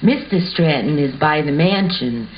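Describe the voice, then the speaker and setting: A woman's voice: deep voice, lively intonation, measured pace. A woman reading scripted narration and stage directions as the narrator of an amateur show. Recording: muffled home recording with hiss.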